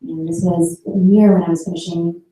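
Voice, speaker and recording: Dark-voiced woman, distant and echoey. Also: on the low side, level tone, moderate pace. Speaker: photographer. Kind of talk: personal talk about her work. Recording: echoey hall, heard from a distance.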